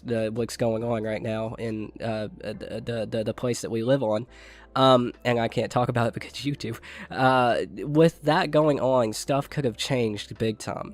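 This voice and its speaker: A young man with a nasal voice, stuffed up from a cold. He talks casually like a gaming news host, rambling, swearing and restarting his sentences. His pitch sits in the middle of the range. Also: darkish tone. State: sick with a stuffy nose. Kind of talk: casual commentary.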